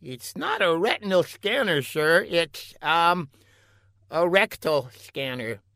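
Meek male scientist lackey for a comic audiobook, performed by the narrator: high, hesitant, obsequious, close and dry. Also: big pitch swings, slow, pushed and bright, no echo, exaggerated voice. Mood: nervous, apologetic.

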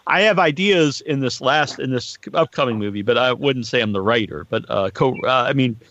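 A man's voice: mid-range voice, lively intonation, fairly bright tone, no echo. Middle aged guy podcasting, rambly and jokey, kinda crude, dry muffled mic. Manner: rambling, halting, crude humour. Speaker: podcast host. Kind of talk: casual chat, joking. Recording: muffled lo-fi online stream audio.

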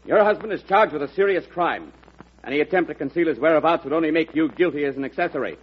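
A man's voice: on the high side, expressive, quick pace, slightly rough. A man acting a stern, commanding officer of the law in an old-time radio drama, authoritative and declamatory. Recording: dull, noisy vintage radio recording.

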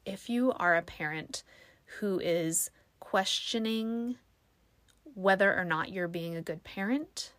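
A woman's voice: fairly low pitch, fairly bright tone, no echo. Young female podcast host, soothing voice, casual filler-heavy chat, close dry mic. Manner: chatty, self-deprecating, lots of fillers.

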